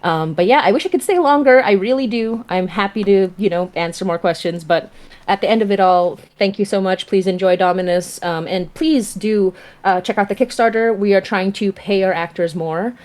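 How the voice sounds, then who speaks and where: A woman's voice: medium pitch, moderate pace, darkish tone. A woman speaking as a guest creator in casual livestream chat, effusive and emphatic in the way she talks. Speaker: audio drama creator, guest.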